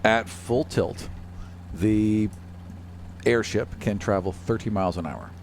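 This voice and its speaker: A man's voice: lowish voice, slow pace, slight background noise. A man running a tabletop game as game master, chatting casually with the players.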